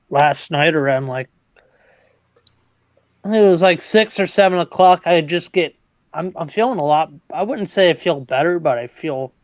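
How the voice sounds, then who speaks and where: Halting young man, dull dry sound. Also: on the high side, no echo. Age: 20s. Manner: halting, repeats words, laughs often. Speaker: livestream host. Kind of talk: casual chat.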